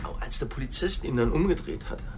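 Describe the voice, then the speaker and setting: A man's voice: medium pitch, animated, fairly quick, natural voice. A man acting an uneasy, chatty witness, musing colloquially in a radio play. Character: chatty, uneasy male witness at crime scene. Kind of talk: naturalistic radio play monologue. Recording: clearly noisy outdoor ambience.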